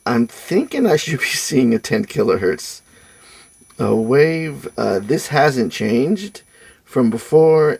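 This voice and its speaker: A man giving an informal technical demonstration, speaking hesitantly and haltingly as he thinks aloud. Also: medium pitch, gravelly, darkish tone. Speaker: host of an electronics testing channel.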